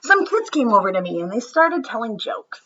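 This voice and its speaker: A woman storyteller reads a children's book aloud in a bright, husky voice that moves through wide swings of pitch. Her phrasing is deliberate and dramatic, dropping soft at times. The recording sounds slightly muffled. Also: very animated. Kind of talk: expressive read-aloud narration.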